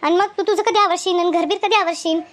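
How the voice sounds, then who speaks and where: A woman voicing a nosy, helpful village housewife in a cartoon comedy, brisk, repetitive and gently scolding. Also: extremely high pitch, steady tone. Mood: advising. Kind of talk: animated cartoon comedy dialogue.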